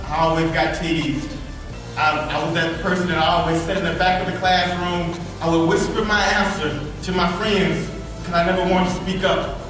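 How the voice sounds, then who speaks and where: A man in his thirties from Alabama with a slight lisp, giving an earnest motivational speech to students and repeating his key phrases for emphasis. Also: high voice. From Birmingham, Alabama. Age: 30s. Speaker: motivational speaker. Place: large echoey school event hall. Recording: echoey hall from far off, with music.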